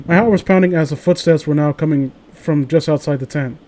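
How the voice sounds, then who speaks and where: A man's voice: fairly high pitch, fairly quick, a little mellow. A man hosting a casual show, reading amateur horror stories aloud and breaking off into rambling, opinionated, profane commentary.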